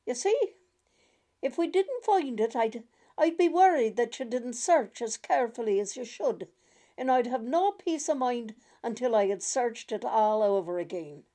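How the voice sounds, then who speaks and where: Woman acting a stern, stubborn old farm grandmother, raised pitch. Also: lively intonation, measured pace, warm tone. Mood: abrupt, insistent. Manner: abrupt, commanding.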